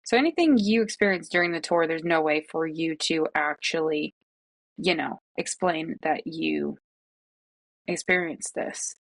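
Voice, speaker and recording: Gravelly-voiced exasperated woman vlogger from New England. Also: sits low, measured pace. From Massachusetts, North Shore of Boston. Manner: incredulous, ranting, exasperated asides. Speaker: true crime YouTuber. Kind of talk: casual commentary vlog.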